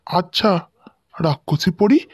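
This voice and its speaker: A man's voice: high voice, sing-song, a little slow, slightly rough, no room sound, clean studio sound, heavily acted. A man playing a polite, deferential king and father in a fairy-tale cartoon. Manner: submissive, polite. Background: music.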